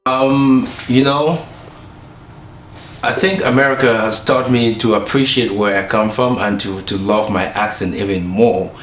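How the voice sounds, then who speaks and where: A man from Cameroon with a Cameroonian accent, a pastor speaking in a documentary interview, measured and pausing with fillers. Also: lowish voice, fairly even intonation. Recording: roomy with faint ambient noise.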